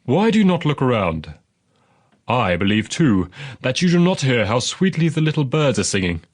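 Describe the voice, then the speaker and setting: A man's voice: medium pitch, big pitch swings, normal speed. A man voicing a wicked wolf in a storybook, sly, coaxing and falsely polite.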